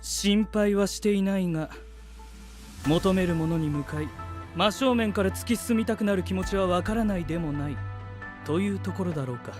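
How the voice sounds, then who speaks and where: A man playing a cool, analytical young knight in an anime-style voice drama, speaking in a measured, formal way. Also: high voice, over music, close to an everyday voice. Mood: aloof, analytical.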